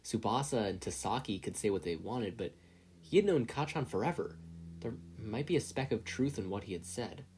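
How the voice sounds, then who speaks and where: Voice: medium pitch, lively intonation, normal speed, darkish tone, slight background noise. A narrator reading scripted fiction aloud as an audiobook-style story. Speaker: podfic narrator.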